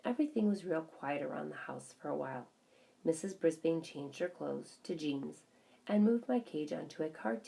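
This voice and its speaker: A woman's voice: low voice, gravelly, dark tone, rough recording. A woman voicing a small, observant pet hamster who tells a children's story in chatty asides. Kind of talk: first-person children's storybook narration.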